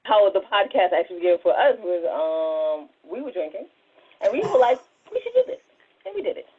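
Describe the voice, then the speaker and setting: A woman's voice: medium pitch, big pitch swings, fairly quick, noisy background. A woman co-hosting with casual, joking, self-deprecating banter. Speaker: podcast co-host. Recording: narrow phone-like online call audio.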